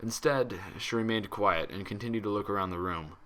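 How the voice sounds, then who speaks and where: A man's voice: fairly low pitch, a little fast, a bit bright. A man reading a scripted story aloud as its narrator. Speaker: fan fiction reader.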